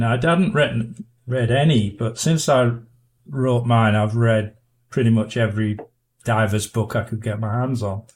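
An older man with a Yorkshire accent, a diver and author, reminiscing in a halting, self-effacing way as a guest in a casual podcast interview. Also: mid-range voice. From Yorkshire, northern England.

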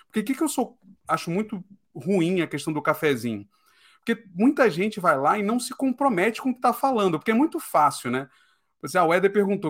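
A Brazilian man hosting a casual podcast discussion, talking in an emphatic, opinionated way and often tagging his points with a quick question. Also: sits high. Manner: opinionated, emphatic, keeps asking "né?".

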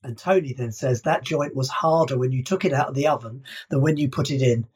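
A man with a slightly husky voice talks casually about an old radio comedy. He stops now and then to read passages from its script. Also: mid-range voice, brisk, a little mellow. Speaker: podcast co-host. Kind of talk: casual discussion, reading script excerpts.

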